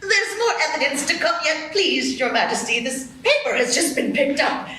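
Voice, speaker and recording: A high, bright, lively voice of a fussy rabbit herald, played by a woman, announcing in a formal, officious way. The live radio play sounds echoey, with faint noise. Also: normal speed, full character voice.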